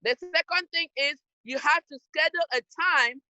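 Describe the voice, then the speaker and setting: A woman's voice: high voice, normal speed, bright, forceful tone. A woman from Cameroon, giving an emphatic, scolding motivational talk to young people over a video call. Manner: emphatic, scolding, direct to listeners. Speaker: youth program speaker.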